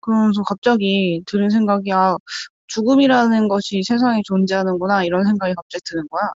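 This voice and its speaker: A woman's voice: medium pitch, fairly even intonation, rapid-fire, a little mellow, no room sound. A woman telling a personal memory in casual conversation. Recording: dry, dull lo-fi sound.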